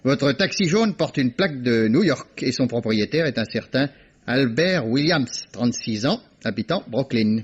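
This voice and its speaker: A shrewd, jovial police inspector in an old radio mystery, sure of himself and fond of a teasing chuckle. His voice sits mid-range with a husky edge. It comes through a dull, muffled archive recording. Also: mid-range voice, lively intonation, normal speed. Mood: confident. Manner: self-assured, teasing, chuckling. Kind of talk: 1950s French radio drama acting.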